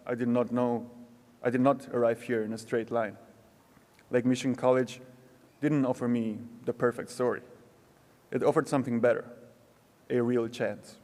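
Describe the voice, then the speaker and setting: Young male student speaker, measured and earnest, with ceremony-hall echo. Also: mid-range voice, level tone, darkish tone. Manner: slow, measured, reflective delivery with pauses. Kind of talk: prepared commencement speech. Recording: long hall reverb.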